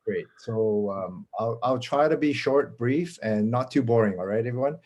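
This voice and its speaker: A man from Canada gives a casual presentation as a guest speaker over a video call, friendly and halting with many fillers. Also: medium pitch, darkish tone, lo-fi sound, a little hiss or hum.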